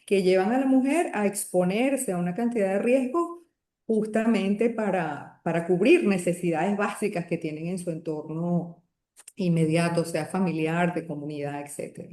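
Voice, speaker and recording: A Venezuelan woman speaking as a podcast guest, with a mellow, soft-edged voice. She talks in a reflective way and often restarts or pauses mid-sentence. The sound carries a noticeable echo from the room around her. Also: fairly low pitch. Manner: reflective, with frequent restarts and hesitations. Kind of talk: podcast interview.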